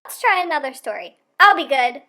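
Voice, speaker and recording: Very high, bright little girl chicken. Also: sing-song, brisk, exaggerated voice. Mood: sweet, pleading. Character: eager little girl chicken, excitable and interrupting. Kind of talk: children's picture book read-aloud.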